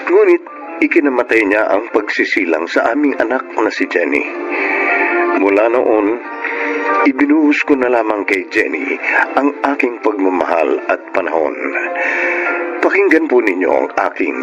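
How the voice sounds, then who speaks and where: A man's voice: on the low side, very animated, normal speed, long pauses, highs cut off, over music. An actor playing a widowed young father who speaks tenderly and laughs nervously, narrating his own story in a radio drama.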